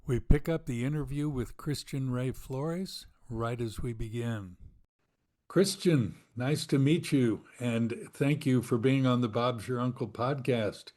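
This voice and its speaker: A man's voice: medium pitch, a little slow, darkish tone. A man hosts a podcast interview with a mid-range voice. He asks his questions in an easygoing way, with the odd light chuckle. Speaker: podcast host.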